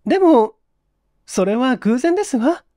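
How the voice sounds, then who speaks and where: A man voicing a polite, modest young woman with soft feminine speech in a dramatic reading. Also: very high voice, sing-song, unhurried, no room sound, studio quality, pitched above the performer's own voice, heavily acted. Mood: moved, modest.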